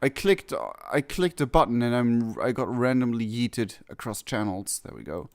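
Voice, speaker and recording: A man's voice: mid-range voice, expressive, moderate pace. A man giving casual live reaction commentary on a game, rambling and often rewording himself. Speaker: streamer reacting to game cutscenes.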